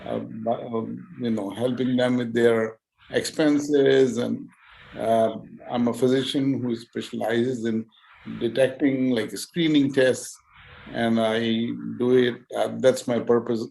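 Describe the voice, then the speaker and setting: Casting a male physician guest on a video call: unhurried, halting speech full of fillers, mid pitch, light background noise. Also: steady tone, vocal fry, warm tone, low-quality recording. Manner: hesitant, many fillers and restarts. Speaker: guest speaker, physician and YouTuber. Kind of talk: conversational interview answers.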